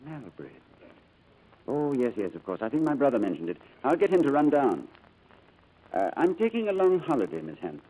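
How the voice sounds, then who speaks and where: A man's voice: medium pitch, big pitch swings, fairly quick. A man playing a courteous, distracted, haunted English businessman with a hesitant manner, in 1940s radio drama style. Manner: hesitant, gentlemanly. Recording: muffled old radio recording, music behind.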